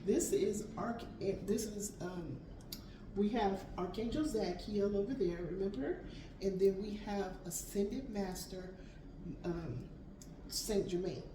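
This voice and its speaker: A woman with a raspy voice reading tarot cards aloud, gentle and affirming. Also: mid-range voice, takes it slowly, recorded from a distance. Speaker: tarot reader. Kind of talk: spiritual card reading. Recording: echoey room, voice from off mic, background noise.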